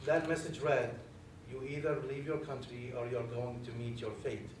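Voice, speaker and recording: A man from Iraq telling his own story on a panel in a measured, plain way. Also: medium pitch, flat delivery. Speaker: panel speaker. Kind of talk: personal story in a panel discussion. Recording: echoey room from far off, noisy.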